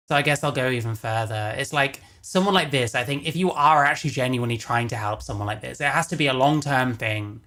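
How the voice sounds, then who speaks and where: A man's voice: mid-range voice, fairly bright tone. Blunt man chatting on a stream. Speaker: stream co-commentator. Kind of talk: casual livestream commentary.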